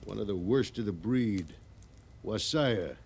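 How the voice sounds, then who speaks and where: An actor playing a bitter, vengeful frontier army scout with rough rustic talk, in the style of an old radio western. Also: male voice, lowish voice, lively intonation, measured pace, darkish tone. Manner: rough rustic grammar, insistent. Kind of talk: 1950s radio western acting. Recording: noisy old radio drama recording.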